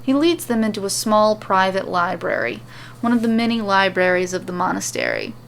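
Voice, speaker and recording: Noisy audio, woman hosting and narrating her fan fiction serial, mid pitched voice. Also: very animated, moderate pace. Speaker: narrator and host. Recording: clearly noisy recording.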